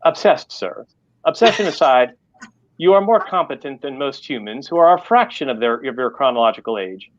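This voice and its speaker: A man's voice: medium pitch, lively intonation, moderate pace, close to an everyday voice. A refined American artificial butler performed by a male reader in a science fiction story. He speaks formally and exactingly, with dry, scolding sarcasm. The sound is a little dull and lo-fi. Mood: dry, insistent.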